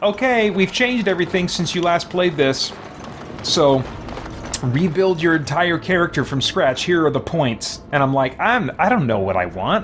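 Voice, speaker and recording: A man's voice: fairly high pitch, slight background noise. A man live-streaming a game, chatting casually and reading viewer comments, rambling as he thinks aloud. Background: game music.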